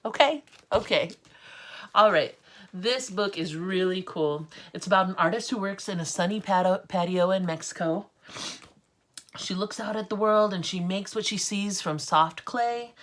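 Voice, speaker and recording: A woman's voice: medium pitch, moderate pace, a bit bright. A woman with an American accent reading a storybook aloud as an art teacher, with informal, encouraging asides to children.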